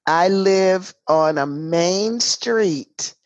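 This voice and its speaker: A hoarse woman co-hosting a casual podcast chat, speaking emphatically and tossing in folksy asides like "girl" and "honey". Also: fairly low pitch, very slow, darkish tone, no room sound, muffled recording. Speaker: podcast co-host. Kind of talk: casual chat between sisters. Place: home in a city in Washington State.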